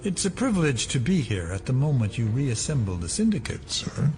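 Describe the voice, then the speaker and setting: An actor playing a shadowy veteran conspirator in an audio drama, guarded and formally polite, deferential yet sly. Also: male voice, mid-range voice, big pitch swings, a little fast, a little mellow.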